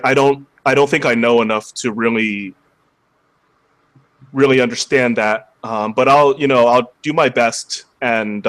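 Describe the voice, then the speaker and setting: Slightly hoarse man chatting casually. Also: medium pitch, a bit bright. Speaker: interview guest, cube shop seller and video maker. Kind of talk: casual livestream chat.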